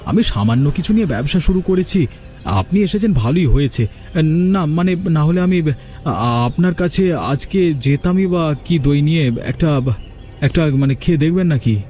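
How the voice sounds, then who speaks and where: A man's voice: on the high side, lively intonation, fairly quick, warm tone, lightly acted. A man voicing a poor, humble male yogurt seller in a cartoon, with a deferential, plaintive manner. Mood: nervous, deferential. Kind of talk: cartoon character dialogue.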